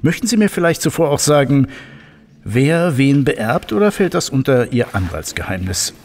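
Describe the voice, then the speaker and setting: An actor playing a genial, chatty professor of technical history in an audio drama, with a hesitant, jovial and bookish manner. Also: male voice, medium pitch, sing-song, normal speed, darkish tone, slight background noise. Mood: curious.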